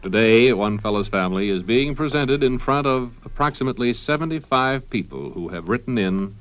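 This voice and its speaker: A man playing a pompous, portentous male announcer, measured and drawn out, in a parody of old-time radio serials. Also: mid-range voice, lively intonation, a bit bright, close to an everyday voice, poor audio. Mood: grandly solemn.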